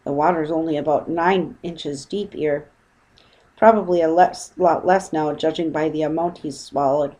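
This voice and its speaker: A woman's voice: deep voice, steady tone, moderate pace, mellow. A woman voicing an unflappable working-class boatman from a storybook, colloquial, dry and matter-of-fact. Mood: dry, unimpressed.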